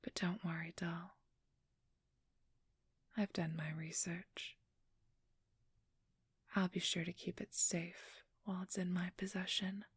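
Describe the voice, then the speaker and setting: A woman playing a cunning, haughty supernatural thief who poses as a scholar, speaking in a soft, precise, formal whisper for an ASMR roleplay. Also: on the low side, flat delivery, takes it slowly, long pauses, breathy edge, no room sound, full character voice. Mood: menacing, seductive.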